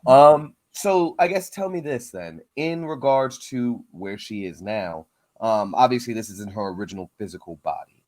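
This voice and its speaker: Male host, lowish voice, casual interview, informal, halting, thinking aloud. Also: lively intonation, darkish tone. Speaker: host of a comics show.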